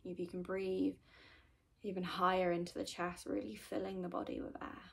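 Soft-spoken woman guiding meditation, creaky voice. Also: lowish voice, level tone, moderate pace. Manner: gentle, soothing, often trailing into breathy whispers. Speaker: meditation guide.

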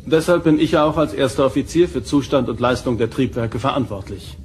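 An actor playing a firm, composed starship first officer in an audio drama, formal and matter-of-fact. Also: male voice, medium pitch, steady tone, fairly quick, slight background noise, close to an everyday voice. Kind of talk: German audio drama acting.

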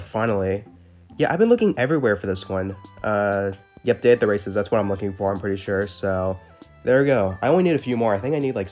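Low-voiced guy doing casual game commentary. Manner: informal, slangy. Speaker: gaming video host. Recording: music behind the voice.

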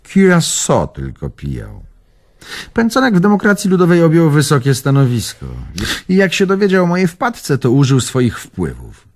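Animated old raconteur. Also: male voice, a little high, moderate pace. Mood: animated storytelling. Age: older. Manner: expressive, storytelling, old-world phrasing. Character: old Polish antique collector, carefree, generous, worldly raconteur. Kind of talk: radio drama acting, reminiscing monologue.

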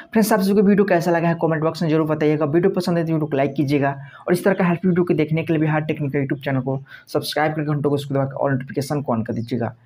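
A man narrates a tech tutorial. His voice sits a little high and he moves along quickly. He gives instructions in repetitive, step-by-step phrasing. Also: steady tone, darkish tone. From India.

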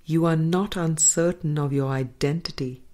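A woman reading a spiritual book aloud in a measured, deliberate manner, pausing between phrases. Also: deep voice, steady tone, mellow. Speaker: audiobook reader.

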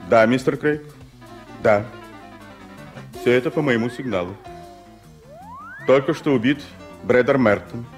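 An actor playing a reserved, embittered private secretary in a radio play, with clipped, restrained delivery. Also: male voice, mid-range voice, a little slow, pauses often, soft background music, close to an everyday voice. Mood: tense, reporting. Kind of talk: Soviet radio play acting.

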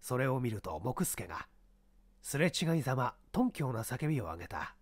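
A man's voice: mid-range voice, very animated, moderate pace, no echo, clean studio sound. A man narrates a detective story from a script. He works as a storyteller, reading the prose between lines of dialogue.

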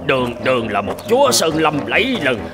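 Grumbling, hungry cartoon tiger. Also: male voice, medium pitch, wildly swooping pitch, a little fast, music in the background. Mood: miserable, grumbling. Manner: grumbling, lamenting. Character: hungry, self-pitying male tiger, proud forest king. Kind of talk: animated cartoon dialogue.

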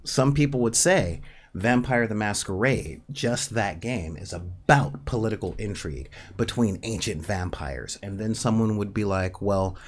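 Unhurried, nasal man in his forties, low-pitched, talking casually into a desk mic. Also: a little hiss or hum. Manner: unhurried, thoughtful, with many pauses and fillers. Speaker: livestream host. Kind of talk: casual livestream talk about tabletop gaming. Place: small home studio with a neon backdrop. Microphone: studio mic on a desk stand.

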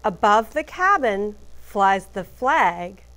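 A woman teacher reading a picture book aloud to children, deliberately emphasizing letters and phrases. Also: medium pitch, sing-song, a bit bright.

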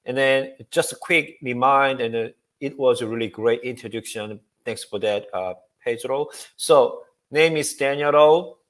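A man in his forties giving a technical presentation on a video call, upbeat and halting, with many fillers. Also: medium pitch, a bit bright. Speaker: guest speaker. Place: home room.

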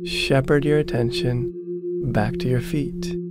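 A man narrating a guided meditation in a soothing, measured, instructional manner. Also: medium pitch. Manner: soothing, measured, instructional, repeats phrases slowly. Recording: prominent meditation music with singing bowls. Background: meditation music, singing bowl tones.

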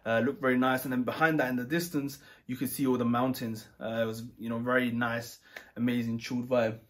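A man talks casually at home, loose and rambling, full of "you know" and restarts. His voice sits at a middle pitch. He sounds easygoing and conversational. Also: a little fast. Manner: rambling, relaxed, many fillers and restarts. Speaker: travel vlogger. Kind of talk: casual chat.

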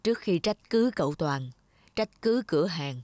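A woman voicing a stern older aunt who lectures the parents slowly and reproachfully in a cartoon, over background music. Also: lowish voice, lively intonation, dark tone, natural voice. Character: stern older aunt nanny, scolding and wise. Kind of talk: cartoon voice acting.

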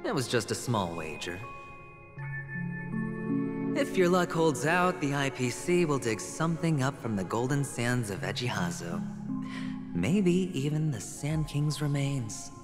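An actor playing a smooth, sly gambler held prisoner in a video game, theatrical and self-assured. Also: male voice, medium pitch, sing-song, measured pace. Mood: smug. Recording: reverberant, with music underneath.

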